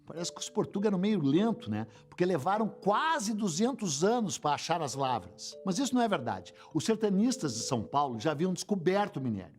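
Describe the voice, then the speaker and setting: A man's voice: sits high, sing-song, brisk, few pauses, darkish tone, faint music behind. A man narrating a history documentary in a wry, storytelling manner.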